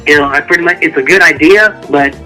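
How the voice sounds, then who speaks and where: A man's voice: a little high, quick pace, pushed and bright, faint music behind, poor audio. A man speaking as an author guest in a casual interview, rambling and conversational.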